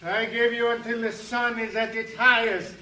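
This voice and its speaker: A man's voice: very high voice, steady tone, normal speed, bright, forceful tone, heavily acted. A man playing a proud, threatening young Apache warrior with stilted, formal speech in a 1950s radio western. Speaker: actor in a western radio drama. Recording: distant, noisy old radio recording.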